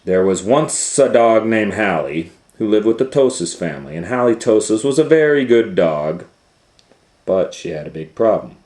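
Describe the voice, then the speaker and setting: A man reading a children's picture book aloud as a storytime host, animated and breaking off for chatty asides to the listener. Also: lowish voice, moderate pace.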